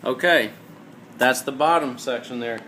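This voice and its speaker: A man's voice: mid-range voice, measured pace, bright, forceful tone. A man giving an informal, hands-on mechanical tutorial, explaining step by step in a plainspoken way. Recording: roomy echo, clear background noise.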